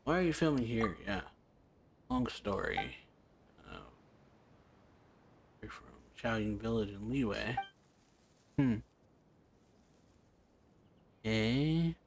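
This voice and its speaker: A man doing a casual let's play, reading game dialogue aloud in a halting, offhand way. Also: on the low side, expressive, slight background noise. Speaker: let's player. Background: game music.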